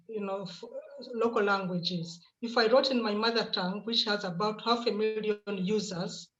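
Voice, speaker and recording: A woman, answering as a writer on a conference panel, measured and reflective. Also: medium pitch. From Uganda. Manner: measured, reflective, repeats words while thinking. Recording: echoey, dull video call audio.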